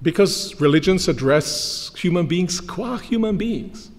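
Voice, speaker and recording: A man's voice: high voice, animated, measured pace. Man lecturing in a reverberant hall. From Croatia. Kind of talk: academic lecture. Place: large lecture hall, standing at a lectern. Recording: long hall reverb from lectern mic.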